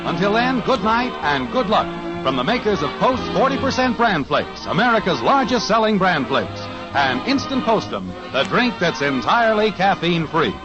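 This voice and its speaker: A man announcing a 1950s radio show in a smooth, formal manner. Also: high-pitched, very animated, moderate pace. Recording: lo-fi radio transcription with music.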